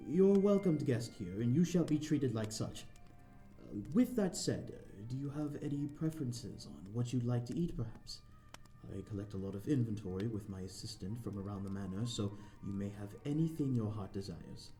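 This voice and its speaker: A man's voice: medium pitch, expressive, moderate pace, dark tone, soft background music, natural voice. A man playing a courteous, lonely vampire host in an ASMR audio roleplay, speaking in a formal, flowery, slightly flustered and hesitant way. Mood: eager, hospitable. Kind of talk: ASMR audio roleplay, scripted character acting.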